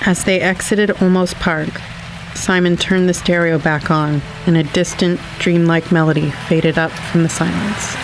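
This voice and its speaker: Male storyteller for literary narration, crackly fry in the voice, measured, faint noise behind. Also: fairly high pitch, flat delivery.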